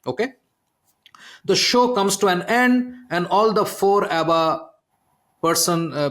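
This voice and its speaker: A middle-aged man, a podcast host, telling a story in an animated way and often asking "okay?". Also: sits high. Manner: animated, repeats "I mean" and "okay?". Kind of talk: conversational storytelling.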